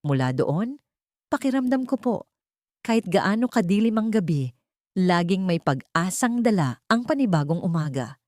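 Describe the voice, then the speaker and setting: A young provincial servant woman in a romantic audiobook, played by a woman narrator. She is humble, earnest and wounded, always respectful. Her voice is mellow and closely recorded without echo. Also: lowish voice, very animated, moderate pace, clean studio sound. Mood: wistful, hopeful.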